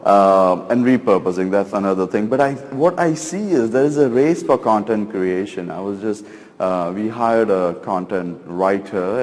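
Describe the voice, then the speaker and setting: Middle-aged male keynote speaker, lowish voice, chatty and self-mocking, reverberant hall. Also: darkish tone. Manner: chatty, self-deprecating, many fillers. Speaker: conference speaker. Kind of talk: casual keynote talk. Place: conference stage in a large hall.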